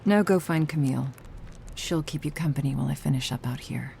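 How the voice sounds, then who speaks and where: Woman acting a low, slow, creaky vampire woman. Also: a little mellow, slight background noise. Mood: tender, firm. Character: ageless vampire woman gardener, sardonic yet tender, protective. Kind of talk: slow intimate ASMR roleplay acting.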